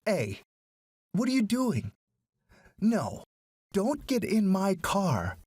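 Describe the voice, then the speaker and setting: An angry, unforgiving storybook dad, acted by a narrator, scolds with indignation. His voice is pushed well up in pitch and recorded dry. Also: huge pitch swings, takes it slowly, a little mellow, breathy edge, dry recording, full character voice. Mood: outraged. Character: angry, unforgiving father rejecting his son. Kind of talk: audiobook story character.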